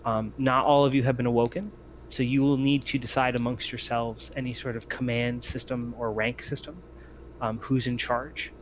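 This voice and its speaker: Male game master for a tabletop roleplaying session, mid-pitched, on a dull, noisy lo-fi recording. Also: little pitch movement, moderate pace. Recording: muffled lo-fi mic with clear noise.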